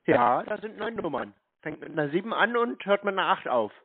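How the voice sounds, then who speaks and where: A man's voice: sits high, sing-song, a little fast, slightly rough, no echo. A man chatting casually on the phone, playing naive and agreeable. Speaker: host posing as a scam victim on a prank-call show. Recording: tinny, band-limited phone line.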